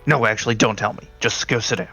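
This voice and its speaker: A man's voice: mid-range voice, steady tone, fast talker, gravelly, pushed and bright, husky, soft background music, full character voice. A man playing a brusque, impatient male government agent in a tabletop game, giving orders. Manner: brusque, commanding.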